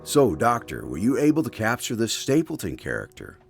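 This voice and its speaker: A man's voice: mid-range voice, huge pitch swings, normal speed, creaky, faint music behind. A man playing a courteous, attentive interviewer who hosts and announces a scripted radio drama. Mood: curious, genial. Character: courteous, attentive male interviewer hosting the doctor's tales. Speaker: host and announcer.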